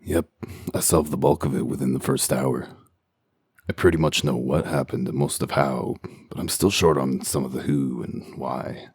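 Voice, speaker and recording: A man playing a gruff, cynical wolf detective in a comic dub, talking in a dry, sardonic, terse way. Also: extremely low pitch, fairly even intonation, moderate pace, a little mellow, no echo, full character voice. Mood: dry, matter-of-fact.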